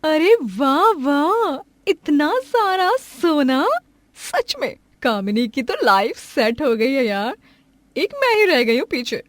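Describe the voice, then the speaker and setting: Woman acting high-pitched, gushing, scheming female houseguest. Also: dry recording, exaggerated voice. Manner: exaggerated, gushing and sneering delivery. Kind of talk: Hindi cartoon moral story acting.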